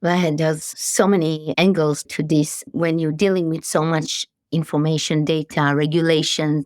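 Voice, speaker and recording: Reflective woman in her late forties, low-pitched. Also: darkish tone, dry recording, clean studio sound. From Israel. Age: 40s. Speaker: CEO guest. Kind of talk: interview conversation.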